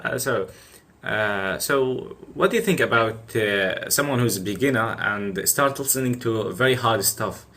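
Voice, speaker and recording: A young man whose first language is Arabic hosts a casual chat, pausing often with "uh" as he searches for words. His voice is bright and clear-edged at a middle pitch. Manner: hesitant, with many "uh" pauses. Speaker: podcast host. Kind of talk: casual conversation.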